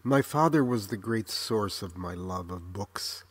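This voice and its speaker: A man in his sixties with an American accent, reading a reflective scripted memoir in a measured, literary, earnest way. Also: on the low side, animated, husky. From Los Angeles, United States. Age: 60s. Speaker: memoir narrator.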